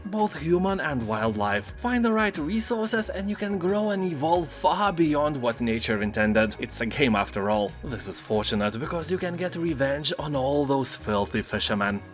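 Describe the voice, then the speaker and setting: Sarcastic game-review narrator, lively pitch. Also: male voice. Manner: sarcastic, joking asides. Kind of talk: scripted narration with jokes. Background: faint background music.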